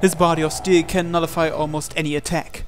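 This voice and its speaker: A man reading a visual novel aloud as a dramatic narration, with deliberate pauses. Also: fairly high pitch, little pitch movement, background noise. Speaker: gaming channel host. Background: game music.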